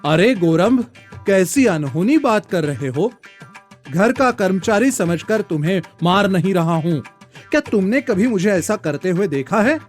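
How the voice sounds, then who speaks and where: A man voicing a jealous, suspicious king in an animated storybook tale, stern and brooding in manner. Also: sits high, measured pace, soft background music. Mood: indignant. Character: proud king, jealous, suspicious and easily startled.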